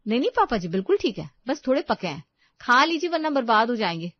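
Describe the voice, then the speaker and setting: A woman voicing a sharp, domineering wife and mother in a family storybook tale, bossy and scolding. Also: medium pitch, lively intonation, fairly quick, no room sound, muffled recording, natural voice. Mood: pushy, brisk. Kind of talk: dramatized storybook narration.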